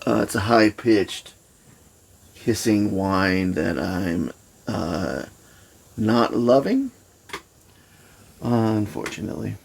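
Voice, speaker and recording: Male hobbyist-style tech demonstrator, lowish pitch, unhurried, hesitant delivery, thinking out loud. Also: lively intonation, creaky. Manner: hesitant, halting, thinking aloud. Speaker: host of an electronics testing channel. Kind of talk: informal technical demonstration commentary.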